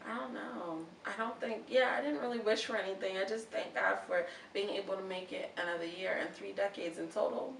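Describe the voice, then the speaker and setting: A woman's voice: medium pitch, brisk, gravelly, hardly any pauses. An upbeat, chatty woman in her thirties hosts a casual interview. Her voice comes from a distance in an echoey room with a faint hiss behind it. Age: 30s. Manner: upbeat, chatty, lively bursts of excitement. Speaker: host.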